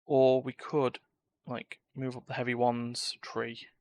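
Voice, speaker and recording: A man's voice: mid-range voice, monotone, takes it slowly, darkish tone, no room sound. A man giving live gameplay commentary, talking to himself and reacting as he plays. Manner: muttering to himself and reacting to play. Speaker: game streamer. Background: game music.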